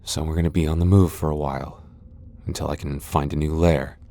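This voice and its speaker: A man's voice: a bass voice, fairly even intonation, brisk, warm tone. A male voice actor playing a proud tsundere vampire lord in an ASMR boyfriend roleplay, haughty and defensive, stammering when flustered. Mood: wary, resigned.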